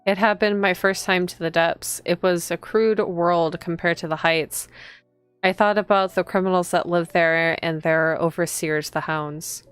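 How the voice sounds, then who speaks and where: A woman's voice: on the low side, steady tone, measured pace, fairly bright tone, clear, resonant tone, dry recording, faint music behind. A woman reading visual novel text aloud as a streamer, expressively, with casual reactions to the story.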